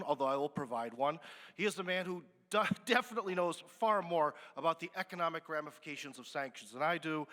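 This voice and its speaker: A man moderates a business forum from the stage, chatting easily and filling pauses as he goes. His voice is bright and fairly high, and it rings with the long reverb of a large conference hall. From United States. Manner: chatty, relaxed, with many fillers.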